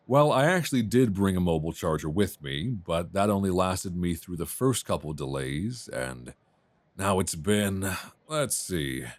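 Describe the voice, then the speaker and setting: A friendly, flirtatious traveller acted by a man with a deep voice and lively intonation. He is chatty and self-effacing, hesitating as he goes, recorded close and dry for intimate ASMR roleplay. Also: unhurried, dry recording. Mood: friendly, wry. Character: friendly, flirtatious rough-and-tumble male traveller, a writer. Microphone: close binaural microphone.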